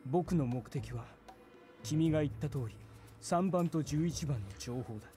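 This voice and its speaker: A man's voice: fairly high pitch, a little fast, mellow, faint music behind, close to an everyday voice. A man playing a stern, cold, menacing character with clipped, formal, commanding speech in a Japanese visual novel. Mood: guarded, calculating.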